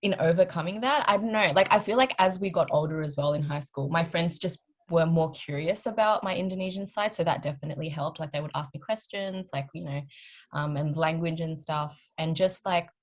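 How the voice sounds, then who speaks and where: This is a young Australian woman in her twenties with a notably low voice. She co-hosts a podcast in a loose, conversational style. Her accent is Australian. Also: fairly quick. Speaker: podcast co-host. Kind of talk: casual group chat.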